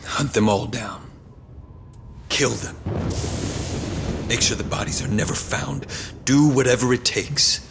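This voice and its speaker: An actor playing a ruthless, imperious politician crime boss in a video game, arrogant and volatile. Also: male voice, mid-range voice, slow, creaky, long pauses, background noise. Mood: cold, commanding. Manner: imperious, profane. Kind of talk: English video game voice acting.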